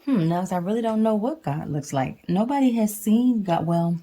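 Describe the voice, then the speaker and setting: A woman's voice: mid-range voice, expressive, moderate pace, dark tone. A woman reading a picture book to a child and chatting casually, explaining things simply and patiently. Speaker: mother reading to her child. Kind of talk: picture book read aloud with casual chat.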